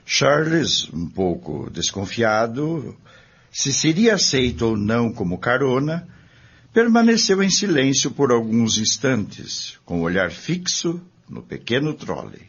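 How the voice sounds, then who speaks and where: A man's voice: mid-range voice, very animated, measured pace, slightly rough. A man reading scripted narration for a radio drama. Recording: dull lo-fi audio over music.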